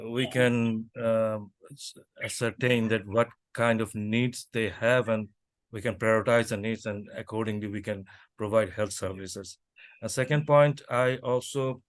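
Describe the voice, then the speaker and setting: A man's voice: fairly low pitch, dry recording. Man, hesitant, many restarts, video call, dry sound. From Bangladesh. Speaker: workshop participant.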